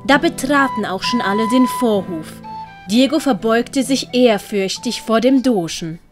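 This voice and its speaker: A woman's voice: on the high side, expressive, unhurried. A woman reading scripted audiobook narration as the storyteller. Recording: prominent music behind the voice.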